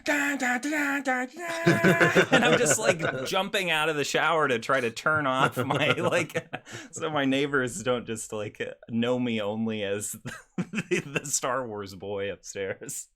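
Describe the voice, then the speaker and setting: A man's voice: mid-range voice, expressive, slow, pushed and bright. A man hosting a casual pop-culture podcast, talking in a rambling, hesitant way. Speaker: podcast host.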